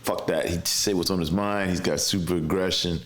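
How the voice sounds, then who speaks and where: A man in his fifties, a guest in an interview, speaking in a relaxed, reflective way with many restarts. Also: sits low, brisk, a little hiss or hum. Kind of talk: casual conversational interview.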